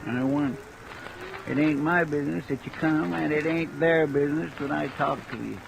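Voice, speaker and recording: Creaky, folksy rural man. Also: a little high, moderate pace, a crowd in the background. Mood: wary, resigned. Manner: folksy, ungrammatical, rustic. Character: plainspoken, wary rural informant. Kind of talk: 1950s radio drama acting. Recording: old radio recording under rain noise. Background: steady rain sounds.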